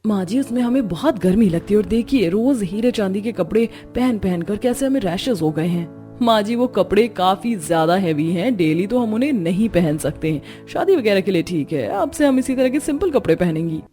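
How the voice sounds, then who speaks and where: A woman's voice: medium pitch, expressive, moderate pace, mellow, faint music behind, close to an everyday voice. A woman voicing a discontented young daughter-in-law in a cartoon story, complaining in a plaintive way. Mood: uncomfortable, complaining. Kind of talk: Hindi cartoon story dialogue.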